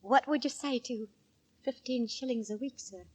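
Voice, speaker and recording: A woman's voice: on the high side, normal speed, breathy edge. An actress playing an anxious, scrimping working-class landlady, fussy and colloquial, in 1940s radio drama style. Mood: eager, deferential. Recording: vintage 1940s radio broadcast recording.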